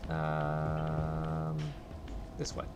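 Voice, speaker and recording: A man with a light, higher-pitched voice, casually rambling to his chat as he plays a game and streams. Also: completely flat delivery, extremely slow, loud music behind the voice. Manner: rambling, chatty, talks to chat while playing. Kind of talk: casual gameplay commentary.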